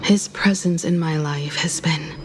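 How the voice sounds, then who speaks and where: An actress voicing a somber, composed woman haunted by the past, speaking in a measured, reflective way in a video game. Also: low-pitched, noisy background. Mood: wistful, bitter. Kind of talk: dramatic video game voice acting. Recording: loud music under the voice. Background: game music.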